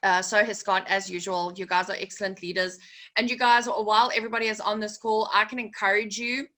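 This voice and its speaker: A woman's voice: mid-range voice, fairly even intonation, fairly quick, pushed and bright. A woman hosting a team video call, bubbly and enthusiastic. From South Africa. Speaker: host of a corporate call. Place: guest house room.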